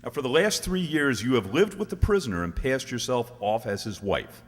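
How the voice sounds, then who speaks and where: An actor as a formal male prosecutor questions deliberately in radio-drama style, his mid-range voice rising and falling widely. The sound rings through a large hall. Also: medium pitch, animated, lightly acted. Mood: formal, pressing. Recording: long reverb of a hall.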